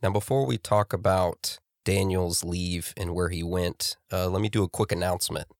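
A man co-hosting a casual podcast, sounding congested from a cold, relaxed and joking. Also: low-pitched, normal speed, creaky, no room sound, clean studio sound. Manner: relaxed, jokey, laughs often. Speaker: podcast co-host. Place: studio across from co-host.

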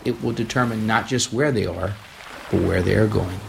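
A man's voice: fairly low pitch, moderate pace, gravelly, background noise. An American man delivering a scripted first-person radio essay. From United States. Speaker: humanitarian organisation co-founder.

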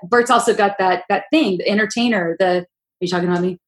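A woman hosting a podcast in casual chat, with a deep, gruff voice, talking loudly and in long, winding stories. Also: fast. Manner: loud, opinionated, rambling storyteller. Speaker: podcast host. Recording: roomy echo.

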